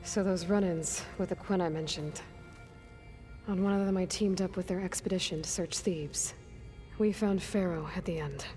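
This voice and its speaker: A woman's voice: lowish voice, normal speed, darkish tone, faint music behind, lightly acted. A woman voicing a guarded, determined young female warrior in a video game, with dry, sardonic delivery. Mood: earnest.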